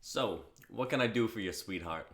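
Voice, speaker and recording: A man's voice: on the low side, moderate pace, fairly bright tone. A man playing a sleazy, suave rival wish-granting spirit, flirting like a salesman in a comedy reading. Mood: smooth, flirty.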